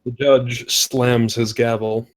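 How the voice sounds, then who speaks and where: A man's voice: medium pitch, level tone, slow, poor audio. A man narrating the stage directions at a comedy script table read.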